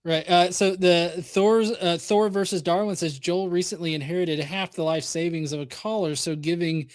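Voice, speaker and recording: A man's voice: high voice, steady tone, moderate pace, no room sound, clean studio sound. A man hosting a casual live stream chat, jovial and informal as he talks and reads aloud. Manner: jovial, laughs readily. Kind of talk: casual chat, reading out viewer messages.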